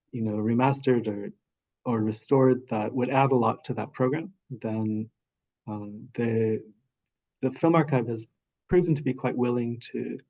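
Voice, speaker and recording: A man speaking as a festival programmer on an online panel, pausing often with ums and uhs. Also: medium pitch, warm tone, highs cut off. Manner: hesitant, full of ums and uhs. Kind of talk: casual panel discussion over video call. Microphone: video call microphone.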